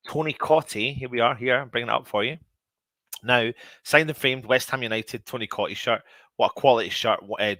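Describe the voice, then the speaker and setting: A man hosts a casual live stream in a bright, forward voice, a little sniffly from a cold. He talks straight to viewers in a chatty, informal way. The sound is dry and close. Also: on the low side, no room sound. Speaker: host of a daily football fan channel show.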